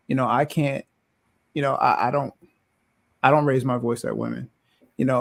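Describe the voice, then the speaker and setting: A man with a deep, loud, gravelly voice that has a lot of fry in it. He co-hosts a casual panel podcast, talking in a measured, halting way and pausing on fillers. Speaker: podcast co-host.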